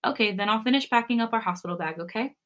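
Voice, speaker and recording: A woman's voice: a little high, racing, a bit bright, natural voice. Woman reading as caring, reassuring young husband. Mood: attentive. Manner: soothing, encouraging, tender.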